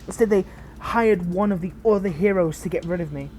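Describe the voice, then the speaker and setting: A woman playing a protective, bitter but caring fallen hero in an audio roleplay, speaking intimately and earnestly with halting, dramatic pauses. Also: on the low side, warm tone, slight background noise, natural voice. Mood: bitter, regretful. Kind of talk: scripted audio roleplay addressed to the listener.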